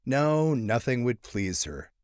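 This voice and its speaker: A man reads literary fiction as an audiobook narrator, his pitch moving in lively sweeps around a medium register. He shapes each phrase expressively and leaves lingering pauses. The sound is close and dry, with no room echo. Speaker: narrator, short story reader.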